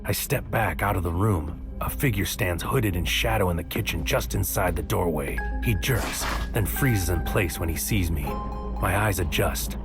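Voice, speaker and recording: Low male lead: wary noir investigator, brooding measured narration, sci-fi audio drama, music bed. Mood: brooding, tense. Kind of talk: first-person scripted audio drama narration, present tense.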